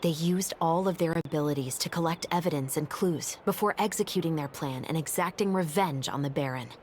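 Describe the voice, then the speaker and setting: A woman voicing a calm, composed female officer in a video game, speaking in a steady, matter-of-fact way. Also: sits low, a little fast, a little hiss or hum, close to an everyday voice. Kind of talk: game voice acting.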